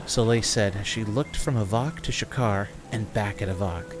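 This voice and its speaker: A man's voice: fairly low pitch, moderate pace. A man reading scripted narration for a fantasy audio drama.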